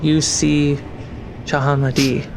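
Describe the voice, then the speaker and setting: A game master narrating a tabletop fantasy game with deliberate dramatic pauses. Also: mid-range voice, flat delivery, gravelly, noisy background. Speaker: game master and show host. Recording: background music and rain sounds under voice.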